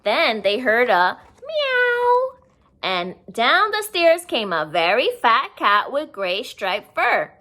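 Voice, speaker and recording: A woman reads a picture book aloud for young children. Her voice is bright and fairly high, with lively, swooping intonation. She takes her time, explaining simply as she goes. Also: slow. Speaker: children's story reader.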